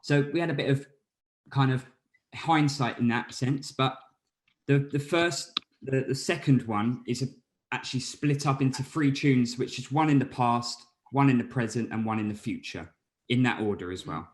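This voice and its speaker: Measured British musician, man. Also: medium pitch. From Britain. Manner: measured, thoughtful, some stumbles. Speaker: band member. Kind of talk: casual interview answers.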